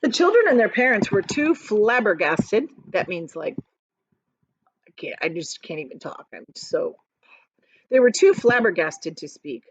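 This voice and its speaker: A woman's voice: mid-range voice, very animated, measured pace, husky, dry recording, muffled recording. A woman teacher reading a story aloud to children, stressing descriptive words and explaining things in casual asides. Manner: explanatory, addresses young listeners, emphatic on descriptive words.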